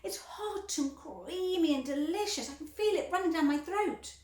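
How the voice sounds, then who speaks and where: Woman playing a smug gushing spoiled girl, high bouncy voice, echoey room. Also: animated, normal speed, darkish tone. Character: boastful spoiled gum-chewing girl. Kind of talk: storybook read-aloud.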